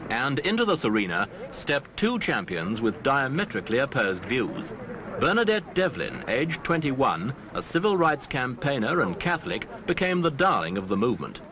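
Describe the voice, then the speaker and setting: A man reading scripted documentary newsreel narration in a formal, measured way with dramatic phrasing. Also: mid-range voice, big pitch swings, lo-fi sound. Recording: noisy, band-limited archival newsreel soundtrack.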